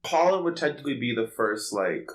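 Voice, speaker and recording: A man's voice: medium pitch, sing-song, fairly quick. A man co-hosting a podcast in a casual, opinionated way, with campy, slangy talk. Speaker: podcast co-host.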